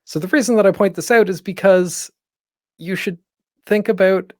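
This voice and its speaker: A man's voice: high voice, normal speed, a little mellow, dry recording, studio quality. A man presenting an informal online panel as a podcast host, speaking in a conversational, encouraging way with self-deprecating humor.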